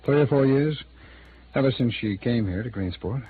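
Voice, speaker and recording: A man acting a smooth-talking but defensive American city attorney in his thirties, in an old-time radio drama. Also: medium pitch, normal speed. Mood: candid, defensive. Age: 30s. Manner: hesitant, defensive, conversational. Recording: phone-like, noisy old radio recording.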